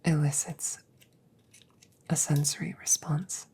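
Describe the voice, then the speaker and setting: A woman voicing a gentle, stoic female android in an ASMR audio roleplay, whispering breathily with formal, precise, measured phrasing. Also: low voice, steady tone, gravelly, husky, heavily acted. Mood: measured, clinical.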